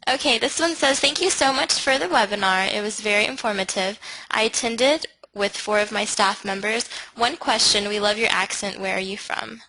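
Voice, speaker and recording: A woman moderating a webinar, reading out audience questions in a brisk, matter-of-fact way. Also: mid-range voice, sharp tone, rough recording.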